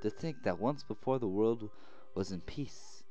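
A man voicing a curious, earnest, sweet anime girl in a high-pitched, girlish, wondering voice. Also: animated, measured pace, dark tone, soft background music. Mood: wistful. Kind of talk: visual novel character read-aloud.

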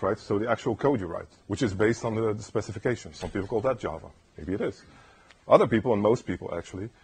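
A man giving a casual technical talk at a conference, rambling and tagging "right?" onto his points in a soft, soothing voice. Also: lowish voice, slightly rough. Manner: rambling, keeps asking "right?". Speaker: conference speaker. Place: lecture room.

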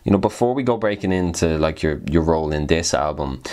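A man's voice: a bass voice, expressive, a little fast, a little mellow. A man hosting a podcast, asking questions in a casual interview style.

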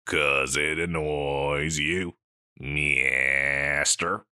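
A man playing a scruffy, insecure slacker catboy pet in a comedic audio roleplay, with a halting, mumbly dude drawl. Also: rumbling low, fairly even intonation, bright, forceful tone, clear, resonant tone, dry recording, studio quality, exaggerated voice. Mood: laid-back, teasing.

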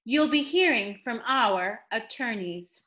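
A woman's voice: fairly high pitch, expressive, slow, sharp tone. A woman reading a picture book aloud in a theatrical storytelling style, addressing a child listener with dramatic emphasis.

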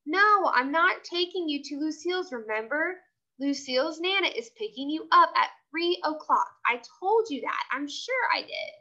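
A woman voicing a firm, brisk mother in a storybook, emphatic and no-nonsense. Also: high-pitched, lively intonation, sharp tone, muffled recording.

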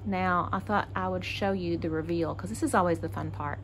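A woman's voice: fairly low pitch, fairly even intonation, brisk, slight background noise, poor audio. A woman from Texas hosting a casual crafting tutorial, chatty and thinking aloud as she works. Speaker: craft channel host.

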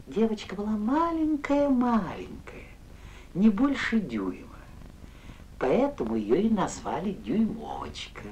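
A woman narrating a scripted fairy tale in a gentle, measured storytelling manner. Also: mid-range voice, sing-song, noisy background.

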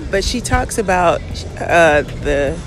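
A relaxed young woman answers questions outdoors, her voice bouncing up and down in pitch. She laughs at herself when she doesn't know an answer. Music plays clearly in the background. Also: slow. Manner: laid-back, laughs self-consciously. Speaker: interviewee. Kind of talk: casual chat. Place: outdoors by a shopping area.

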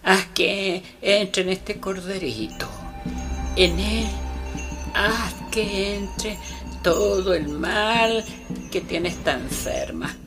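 An actress playing an elderly rural healer woman in a Chilean radio drama, with a Chilean accent and halting, solemn, insistent speech. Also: mid-range voice, over music. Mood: pleading incantation. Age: older. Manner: halting, rustic, urgent incantatory speech.

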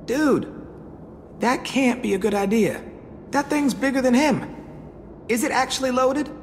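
A man's voice: extremely high pitch, unhurried, long reverb tail, slight background noise. An actor playing an easygoing, joking teenage boy in a video game, playful, theatrical and teasing. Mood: skeptical.

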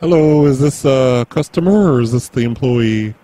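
A man doing prank phone calls as a mall Santa, in a deadpan, crude, mock-serious way. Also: mid-range voice, moderate pace, dry recording. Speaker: prank call host.

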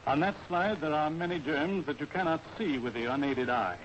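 A man with an American accent and a slightly high voice gives a formal army medical lecture in 1940s training film style. His delivery is authoritative and instructional. The old soundtrack is dull, with faint noise. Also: normal speed.

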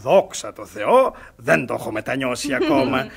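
A man playing a tolerant, reasonable elderly gentleman in a radio theatre comedy, earnest and declamatory. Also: medium pitch, wildly swooping pitch, vocal fry, heavily acted. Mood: genial persuasion.

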